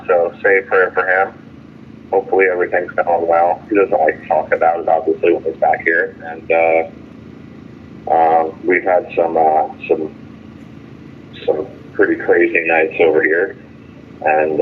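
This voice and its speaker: A man calling in by phone with a halting, aggrieved, sardonic account in casual speech, as a jailed caller giving an update. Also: low voice. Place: jail phone call. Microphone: telephone. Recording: tinny noisy phone line.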